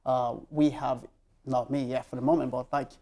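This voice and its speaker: A woman hosting a talk show, interviewing conversationally in a halting way as she rephrases her questions. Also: a bass voice, fairly even intonation, warm tone.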